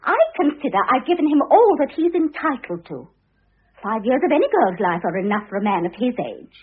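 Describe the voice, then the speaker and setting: A spoiled young society wife in an old radio play. Her high voice leaps and swoops with breezy, selfish flippancy. The recording is narrow and muffled. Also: very animated, fairly quick, breathy edge. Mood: self-justifying. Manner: breezy, flippant, self-pitying. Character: vain, grasping, frivolous young society wife in her twenties. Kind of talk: classic stage-style radio drama acting.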